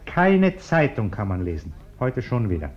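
Pompous householder, swooping intonation, echoey room. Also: male voice, fairly low pitch, huge pitch swings, normal speed, darkish tone. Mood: agitated. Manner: pompous, curt, nervously polite. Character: flustered, self-important bourgeois householder, uneasily polite. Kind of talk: German radio play acting. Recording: dull, echoey radio play recording.

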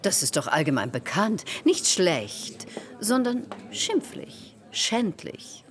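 Radio drama salon hostess: callous and self-justifying, very animated, declaiming then confiding. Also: female voice, lowish voice, slow pace. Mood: indignant, insistent. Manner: theatrical, declaiming with swings into hushed confiding. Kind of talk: German radio drama acting.

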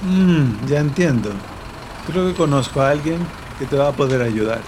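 An actor playing a laid-back, helpful friend with useful contacts in an audio drama, casual and easygoing. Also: male voice, fairly high pitch, normal speed, background noise, lightly acted. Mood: relaxed, helpful.

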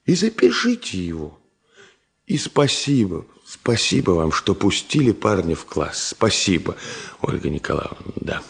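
A man's voice: low-pitched, huge pitch swings, a little slow, vocal fry, breathy edge, heavily acted. An actor playing an impulsive, big-hearted engineer in a Soviet radio drama, coaxing insistently and repeating his words. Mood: earnest, persuasive.

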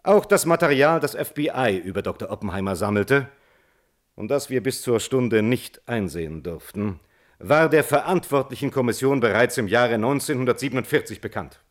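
Earnest male defence attorney, played by an actor, medium pitch, measured and argumentative radio-drama courtroom delivery. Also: lively intonation, close to an everyday voice. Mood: earnest, insistent. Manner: formal, deliberate, argumentative. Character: measured, earnest defence lawyer pleading at a hearing.

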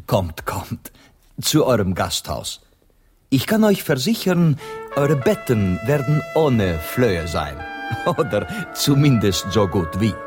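An actor playing a suave, sardonic Spanish gentleman guide in a German radio play, florid and ironic. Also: mid-range voice, big pitch swings, slow, music in the background.

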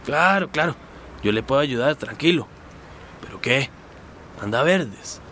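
An actor playing a sly, bullying street swindler in a radio drama, with slangy, smooth talk that can turn to bluster. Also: male voice, medium pitch, animated, unhurried, bright, forceful tone, slight background noise. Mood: oily, scheming.

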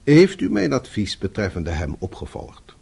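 Husky and on the low side, a blunt, concerned boss in a radio drama. He is formal and pointed, with lively swings of intonation. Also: normal speed, full character voice. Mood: stern, concerned. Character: blunt but concerned office boss, Mr Cameron. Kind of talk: Dutch radio play acting.

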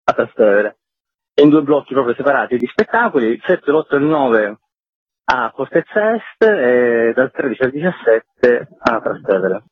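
A man's voice: medium pitch, very animated, moderate pace, slightly rough. A man giving explanatory answers as an interview guest, a festival's artistic director. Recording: tinny phone-like line, roomy echo.

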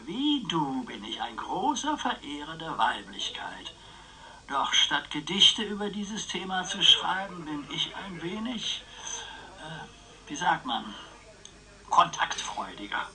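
An actor playing a roguish, chatty older uncle in a radio crime drama, teasing, with florid relish. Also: male voice, on the high side, big pitch swings, slow, bright, forceful tone, full character voice, low-quality recording. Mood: gossipy, amused. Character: roguish, chatty old uncle, womanising bon vivant.

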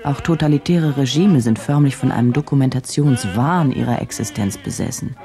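An actress playing an intense, probing female journalist in a radio drama, speaking in deliberate, clipped, analytical phrases. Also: very deep voice, dark tone, soft background music. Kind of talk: German radio drama acting.

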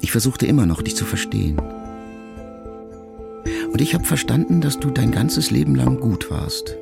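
A man reading a literary letter aloud as an audiobook narrator, in a slow, solemn and reflective way with long pauses. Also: mid-range voice, sing-song, gravelly, over music.

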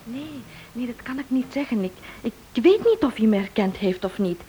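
An actress playing an excitable, anxious wife in a radio mystery, very expressive, on an old recording. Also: female voice, fairly high pitch, moderate pace, over music, poor audio. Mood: uneasy. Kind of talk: Dutch-language radio play acting.

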